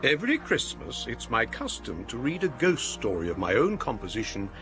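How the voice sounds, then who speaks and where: An older English college provost in a radio drama. He speaks formally and courteously, with a lively, swooping delivery and the measured phrasing of a ghost-story teller. Soft music sits behind him. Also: medium pitch. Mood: courteous, dry. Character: older scholarly Edwardian college provost telling ghost stories.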